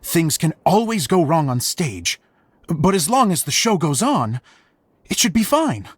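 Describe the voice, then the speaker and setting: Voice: mid-range voice, sing-song, unhurried, slightly rough, no echo. An actor playing an earnest, dramatic amateur stage director, humble and slightly flustered, in an anime video game. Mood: earnest, uneasy. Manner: theatrical, earnest, hesitant when embarrassed.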